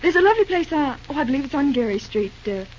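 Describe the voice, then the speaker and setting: An actress playing a sweet, teasing young bride-to-be in an old-time radio drama, genteel and expressive, with a gently strong will. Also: female voice, high-pitched, fast talker. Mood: dreamy delight. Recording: dull, noisy vintage radio recording.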